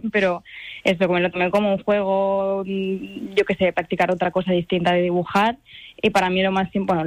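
A woman's voice: lowish voice, dry recording, low-quality recording. A woman in her twenties from Spain answering radio interview questions, chatty and hesitant, full of fillers and restarts. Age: 20s. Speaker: guest artist.

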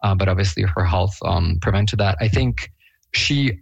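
A man's voice: deep voice, normal speed, creaky, dry recording, dull audio. A man from Bosnia and Herzegovina, a guest on an interview, talking casually and enthusiastically with lots of fillers. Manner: enthusiastic, chatty, laughs often, many fillers. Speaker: guest, Eurovision fan.

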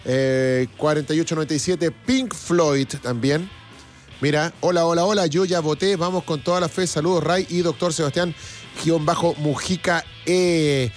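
Chilean male host, voice a bit high, conversational live broadcast, repeats for emphasis, light music underneath. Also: steady tone, moderate pace. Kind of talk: casual live broadcast chat, reading out comments.

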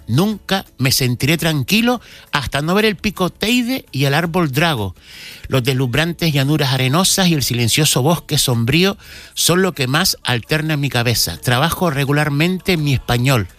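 A man's voice: fairly high pitch, fairly bright tone. A man, a cultural commentator telling a local history story on a radio segment, with frequent restarts.

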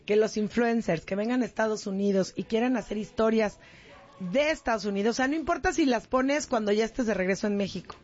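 A Mexican woman in casual chat, animated and slangy, often talking over the others. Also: on the high side, a little fast, muffled recording.

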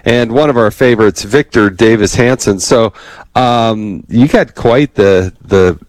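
A man's voice: lowish voice, measured pace, no room sound, poor audio. A male interview host, chatty and enthusiastic, with a wry humor. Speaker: podcast host.

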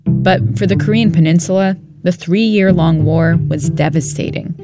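A woman delivering scripted documentary narration in a measured, explanatory way. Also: on the low side, over music.

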